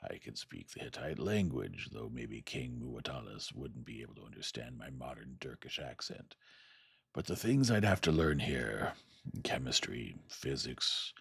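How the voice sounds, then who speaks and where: A man voicing an old male scholar who speaks in a soft, slow, hushed and weary way. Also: extremely low pitch, animated, gravelly, slightly rough, no echo, clean studio sound, voice pushed down, heavily acted. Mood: weary, gentle. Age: older.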